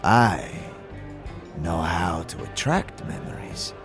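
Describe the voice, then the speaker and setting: Man acting a very deep, slow, sly alien warrior. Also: expressive. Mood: intense, enticing. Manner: deliberate, formal, theatrical phrasing. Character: sly, menacing Githyanki warrior offering memory bargains. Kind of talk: fantasy audio drama acting. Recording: music playing behind the voice.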